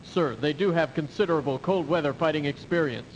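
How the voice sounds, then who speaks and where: An actor playing a loyal, deferential military aide, giving formal reports in a video game cutscene. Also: male voice, fairly high pitch, brisk, dull audio, natural voice. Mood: formal, concerned. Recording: faintly noisy 1990s game audio.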